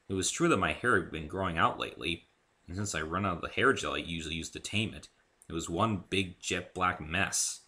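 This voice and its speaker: Bright-voiced man reading a story. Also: low-pitched. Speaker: author reading own story. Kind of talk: expressive scripted narration, amateur dramatic story reading.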